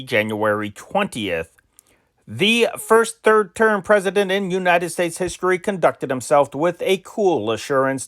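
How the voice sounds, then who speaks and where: Man reading old newspaper dispatches. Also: a little high. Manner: steady reading with occasional slips and restarts. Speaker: reader of historic news dispatches.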